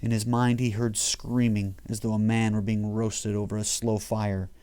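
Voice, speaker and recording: A man's voice: lowish voice, level tone, normal speed, mellow, clean tone. A man reading his own horror short story as scripted audiobook narration.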